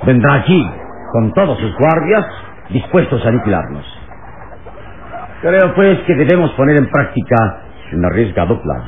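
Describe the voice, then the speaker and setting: Tinny noisy old radio serial, guy playing a commanding calm hero leader, big swings in pitch. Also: lightly acted. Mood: calm, resolute. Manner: firm, commanding, deliberate. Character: calm, authoritative heroic male leader of rebel slaves. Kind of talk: vintage radio drama acting.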